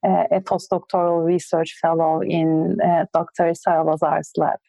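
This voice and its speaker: A woman's voice: fairly low pitch, level tone, moderate pace, creaky, warm tone, no room sound, rough recording. A woman from Turkey with a Turkish accent, a research guest answering interview questions hesitantly with frequent fillers.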